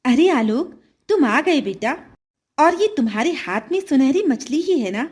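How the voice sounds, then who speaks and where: A woman's voice: sits high, sing-song, a little slow, a little mellow. A woman playing a greedy, scheming stepmother in a children's story, with a coaxing, eager and calculating manner.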